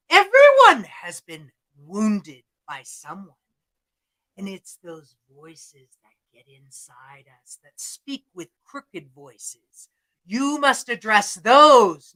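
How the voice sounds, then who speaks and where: A woman voicing a wise, scarred old dragon, speaking dramatically with loud cries and slow, drawn-out words. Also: on the high side, full character voice, low-quality recording. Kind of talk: storytelling performance.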